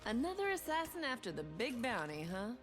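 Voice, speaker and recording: A woman's voice: a little high, very animated, brisk, music in the background. An actress voicing a brash, sardonic female space bounty hunter in a video game, with dry, taunting delivery. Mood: cocky. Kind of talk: video game character voice lines.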